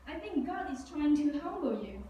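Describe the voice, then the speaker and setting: A woman's voice: high-pitched, sing-song, moderate pace, warm tone, lo-fi sound. A woman presenting alongside a puppet in a scripted children's show, with a chiding, instructive way of talking. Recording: echoey room, distant mic, faint noise.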